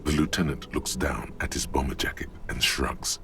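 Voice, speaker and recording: A man voicing the brooding inner voice and narrator of a detective's mind in a game, theatrical and deliberate. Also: very deep voice, fairly even intonation, background noise. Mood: detached narration. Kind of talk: scripted game narration, second person. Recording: music behind the voice.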